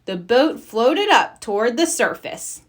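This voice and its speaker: A woman narrating a children's storybook in an animated read-aloud style. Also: a little high, measured pace. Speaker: storybook reader.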